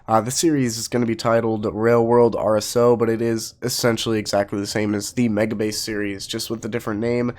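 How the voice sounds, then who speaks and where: A man's voice: on the low side, level tone, brisk, few pauses, a little mellow. A man getting over a cold, giving casual let's play commentary as host of a gaming channel, explaining things in an informal, rambling way.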